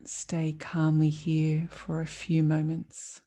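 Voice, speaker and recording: Female meditation teacher, soft mellow tone, unhurried and calm, long pauses between phrases. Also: deep voice. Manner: calm, soothing, measured delivery with long pauses. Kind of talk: guided meditation.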